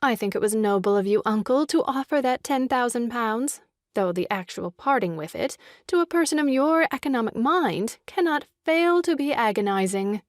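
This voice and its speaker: Woman as dutiful young wife, lightly high-pitched. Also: expressive, normal speed, no echo, studio quality, close to an everyday voice. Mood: earnestly agreeing. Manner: polite, formal, primly agreeable. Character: dutiful young wife, sweetly backing her husband's bluff. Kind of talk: comic stage farce acting.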